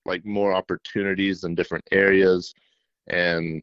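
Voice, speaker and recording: A shy young man from middle Tennessee, a fishing YouTuber, answering interview questions in a self-described monotone with many fillers. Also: low-pitched, normal speed, clear, resonant tone, no room sound, dull audio. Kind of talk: casual interview.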